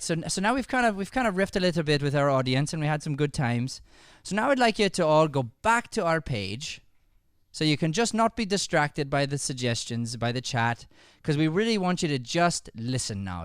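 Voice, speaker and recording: Male improv host, stage Irish accent, slightly high smooth voice, dry close-mic sound, casual audience banter. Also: no echo. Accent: put-on stage Irish. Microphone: close podcast microphone.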